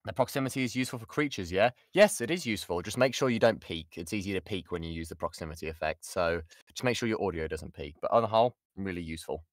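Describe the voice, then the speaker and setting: British man, low voice, very animated delivery. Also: quick pace, hardly any pauses, no echo, clean studio sound. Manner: chatty, jokey, self-interrupting. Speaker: voice actor giving a talk on creature voices. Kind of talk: informal lesson with audience questions. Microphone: close mic on an online voice call.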